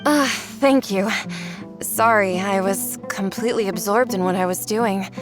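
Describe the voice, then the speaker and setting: Actress as an earnest, polite teacher over music. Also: female voice, medium pitch, measured pace, lightly acted. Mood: apologetic, earnest. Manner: apologetic, earnest. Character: polite, dedicated, modest woman teacher. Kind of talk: video game voice acting.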